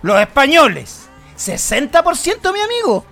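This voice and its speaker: A Chilean man in his thirties with a very high, bright voice that jumps all over the place. He rants in mock outrage and jokes through comedic banter, with music faint underneath. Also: wildly swooping pitch, moderate pace, gravelly. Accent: Chilean Spanish. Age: 30s. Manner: ranting, mock-indignant. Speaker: comedy podcast co-host.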